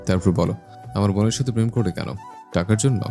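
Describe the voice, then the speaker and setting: A man acts out a commanding older brother in a romantic audio story. His voice is deep and dark, his manner blunt and self-assured, with faint music behind. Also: fast talker, close to an everyday voice. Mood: stern, commanding. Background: background music.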